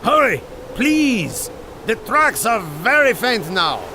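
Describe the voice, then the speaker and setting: An actor playing a ruthless, obsessed mountain guide and hunter, cold and determined, in a pulp adventure audio drama. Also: male voice, extremely high pitch, sing-song, slow, heavily acted. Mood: urgent. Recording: loud blizzard noise behind voice.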